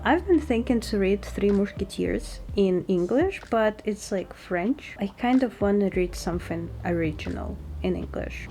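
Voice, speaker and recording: A young woman with a Russian accent, a native Russian speaker, narrating a casual lifestyle vlog in a chatty, relaxed way with small slips in English grammar. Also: medium pitch, slight background noise, poor audio. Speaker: lifestyle vlogger.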